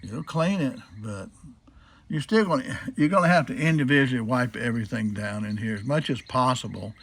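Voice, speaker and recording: A man with a medium-pitched voice explains a hands-on job as a hobbyist would. His delivery is casual and folksy, without a script. He circles back over his points and checks in with the viewer as he goes. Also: normal speed, gravelly, a bit bright. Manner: folksy, asks and answers own questions. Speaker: hobbyist demonstrating old radio restoration. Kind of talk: casual hands-on demonstration, unscripted.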